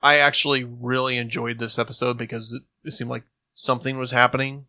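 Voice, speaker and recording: A man with a medium-pitched, bright and forceful voice, captured very dry. He chats casually as a podcast co-host, playful and joking as he tosses out his opinions. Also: flat delivery, a little fast, no room sound. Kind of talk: casual banter and review chat.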